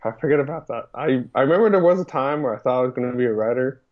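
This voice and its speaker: A man's voice: mid-range voice, expressive, very fast. A man co-hosting a podcast in casual, rambling banter. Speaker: podcast co-host. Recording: dull lo-fi mic.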